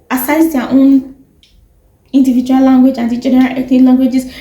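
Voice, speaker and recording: Young nigerian woman vlogging, high mellow voice, rambly chatty, echoey room. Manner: chatty, rambling with fillers and self-corrections. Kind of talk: casual chat to camera.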